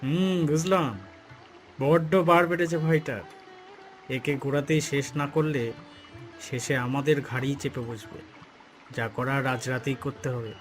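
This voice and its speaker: A man's voice: mid-range voice, steady tone, moderate pace, pauses often, music in the background, close to an everyday voice. A man voicing a jealous, scheming elder brother in a cartoon, conspiratorial and menacing. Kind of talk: cartoon voice acting.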